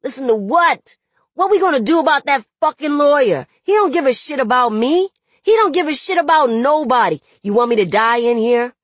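A woman's voice: lowish voice, slow, pauses often, no echo. A woman voicing a desperate young man in jail in an audiobook, angry and tender by turns, with urgent, streetwise phrasing. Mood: agitated, angry. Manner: urgent, profane, vernacular phrasing. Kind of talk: dramatic audiobook character dialogue.

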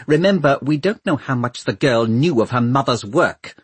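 A man narrating an audiobook plays an old city ruler with a thin, chilly voice set high. He is gloomy and imperious, handing down clipped orders. The recording is dry and close. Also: expressive, moderate pace, husky, dry recording. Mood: stern, suspicious. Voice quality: thin, cold. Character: cold, thin-voiced old Lord Mayor, gloomy, commanding. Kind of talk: audiobook character voice.

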